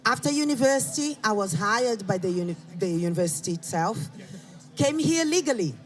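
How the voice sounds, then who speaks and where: A man's voice: high voice, lively intonation, brisk, rough recording. A man in his forties with a Brazilian accent giving an earnest, heartfelt speech at an outdoor rally. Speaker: rally speaker.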